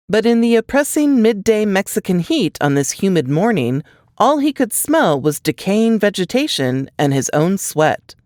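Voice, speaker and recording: A woman narrates scripted fiction like an audiobook. Her intonation moves widely and keeps the reading animated. The sound is very dry, without room echo. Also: on the low side.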